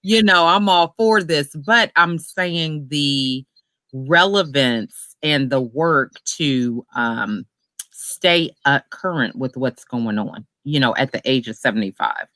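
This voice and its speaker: Upbeat interviewer asking long questions, bright voice, dry close sound. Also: medium pitch, slow pace, dry recording. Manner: lively, encouraging, long questions. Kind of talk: casual interview.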